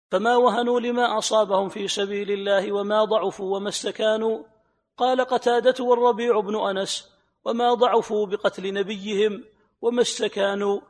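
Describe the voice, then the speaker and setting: Male student reader, notably high voice, projected scripture reading with long hall reverb. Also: darkish tone. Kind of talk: reading a religious text aloud.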